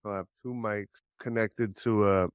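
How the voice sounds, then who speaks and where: A man's voice: on the low side, steady tone, unhurried, no echo. A man in a casual chat who agrees readily and thinks aloud about improving his podcast. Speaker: podcast host being audited. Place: Saigon.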